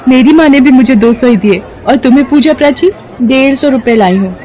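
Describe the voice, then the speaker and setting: A woman voicing a boastful, teasing young village girl with a chatty, mocking manner in an animated story, music behind. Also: high-pitched, a little fast, pitched above the performer's own voice, natural voice. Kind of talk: animated moral story voice acting.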